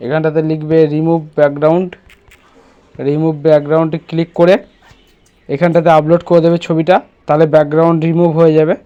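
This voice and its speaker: A man's voice: fairly high pitch, dark tone, clear, resonant tone, dull audio. A man presenting a casual step-by-step screen tutorial, instructing and often checking that the listener follows.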